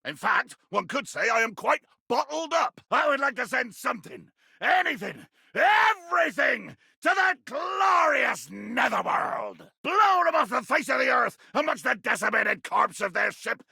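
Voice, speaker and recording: An actor playing a tiny, furious, grandiose sea captain in a video game, with a raspy, gravelly voice and theatrical roaring insults. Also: male voice, extremely high pitch, very animated, measured pace, bright, forceful tone, no echo, shouting, clean studio sound, full character voice. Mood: grandiose, belligerent. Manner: bombastic, theatrical, archaic nautical insults and sudden roars. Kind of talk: video game character acting.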